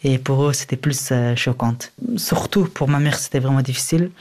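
A young man, speaking a language he learned later, answers as an interviewee. He recounts his own experience in a medium-pitched voice. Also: normal speed, a little mellow. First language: a language other than French. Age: young adult. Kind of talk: personal testimony in an interview.

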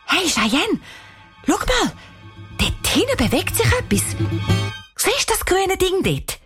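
An excitable, wary young girl from a children's story, acted by a woman. The voice is high and urgent. Music runs behind it. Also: takes it slowly, full character voice. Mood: excited. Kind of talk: children's audio story character voice.

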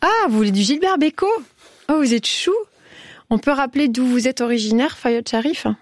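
A woman's voice: high-pitched, moderate pace, dry recording. A woman hosting a radio phone-in, chatting casually and effusively with callers in an encouraging way.

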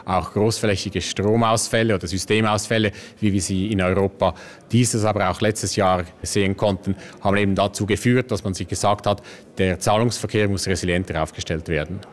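A man's voice: fairly low pitch, steady tone, a little fast. A man giving formal explanations as a government agency representative in an interview.

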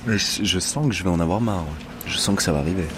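Deep-voiced young man, hesitant. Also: quick pace, noisy background. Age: young adult. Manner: hesitant, repeats words, laughs nervously. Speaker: actor interviewee. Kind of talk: casual interview talk.

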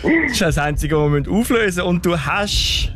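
A man with a Zurich German accent acting as referee on a radio quiz, explaining the rules in a friendly, clear way. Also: high-pitched, lively intonation, brisk.

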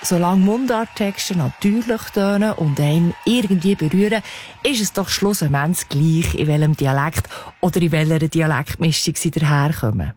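A woman radio reporter with a Swiss German accent and a slightly husky voice, narrating a scripted feature. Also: low-pitched, moderate pace, darkish tone.

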